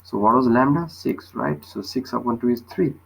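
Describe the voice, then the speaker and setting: A man's voice: mid-range voice, normal speed, creaky, breathy edge, rough recording. A man tutoring physics, explaining a worked problem step by step and often checking with short questions.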